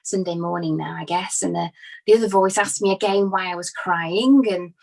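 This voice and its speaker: A woman playing an earnest, grieving follower giving hesitant, conversational testimony with conviction in a courtroom drama, her voice slightly husky. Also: fairly low pitch, moderate pace, darkish tone. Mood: tearful, recollecting. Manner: hesitant, conversational, emphatic about her certainty. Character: Mary, earnest grieving female follower of Jesus testifying as witness.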